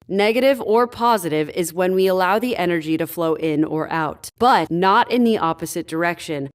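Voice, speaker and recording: A woman playing a sharp-minded, assertive spaceship scientist in an audio drama, speaking in an articulate, direct way. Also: lowish voice, close to an everyday voice.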